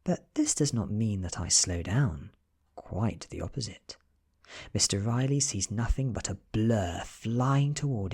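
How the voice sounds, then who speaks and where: A British man reading a story as soft-spoken ASMR narration, hushed and often dropping into a whisper. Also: low voice, husky. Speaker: audiobook reader.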